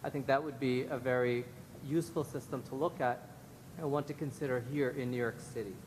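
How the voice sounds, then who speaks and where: Guy giving formal testimony at a public hearing, earnest, echoey hall with rumble behind. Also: steady tone, fairly quick. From Guyanese background. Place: large indoor public hearing room. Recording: echoey hall, clear rumbling room noise.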